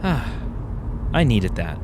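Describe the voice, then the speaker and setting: A man's voice: on the low side, very animated, slow, background noise. A man playing a wry, reflective young man on a road trip who talks in a dry, sarcastic way.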